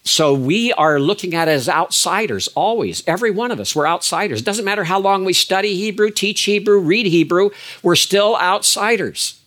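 An older man giving a lecture as a biblical Hebrew teacher, measured and emphatic, with frequent fillers and restarts. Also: sits high, lively intonation, a bit bright. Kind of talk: recorded lecture.

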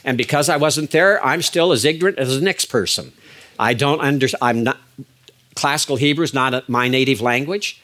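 An older man giving a lecture as a biblical Hebrew teacher, measured and emphatic, with frequent fillers and restarts. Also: medium pitch, a bit bright. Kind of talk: recorded lecture.

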